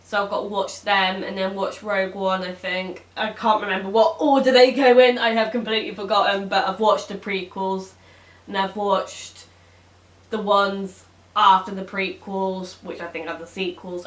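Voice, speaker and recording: A woman's voice: mid-range voice, flat delivery, moderate pace. A woman in her twenties chatting to camera at home, rambling with lots of ums and self-corrections. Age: 20s. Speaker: vlogger. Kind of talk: casual chat to camera. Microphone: camera, then webcam. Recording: echoey room.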